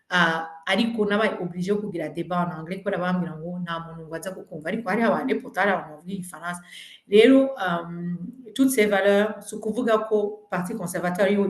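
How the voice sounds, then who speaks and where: A woman's voice: on the low side, reverberant. A woman political candidate from Burundi whose first language is French, earnestly answering questions in a virtual community meeting. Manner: earnest, mixing languages, persuasive. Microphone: video call.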